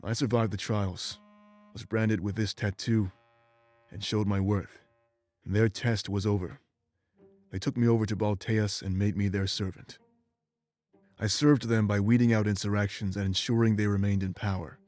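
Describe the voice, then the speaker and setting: An actor playing an ancient, remorseful immortal warrior in a video game, speaking in a solemn, measured, bitter way. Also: male voice, fairly low pitch, steady tone, darkish tone, no echo, faint music behind, close to an everyday voice. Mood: regretful. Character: ancient immortal former rebel warrior, remorseful and weary man. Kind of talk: dramatic game voice acting, confessional monologue.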